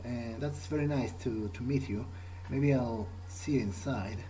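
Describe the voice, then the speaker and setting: Slow, halting man thinking aloud. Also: mid-range voice, dark tone, slight background noise, poor audio. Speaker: player of a role-playing video game, speaking in character. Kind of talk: improvised in-character playthrough monologue.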